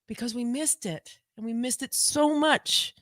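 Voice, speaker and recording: A woman co-hosting a casual home livestream podcast on a desk mic, talking in a rambling, chatty way and repeating herself, her voice lower than usual today. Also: measured pace, a bit bright, dry recording. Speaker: podcast co-host.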